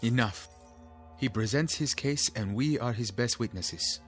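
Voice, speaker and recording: An actor playing a principled revolutionary comrade in a radio drama, stern, questioning, clipped and firm. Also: male voice, mid-range voice, a little slow, close to an everyday voice. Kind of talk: old-time radio drama acting. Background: faint music.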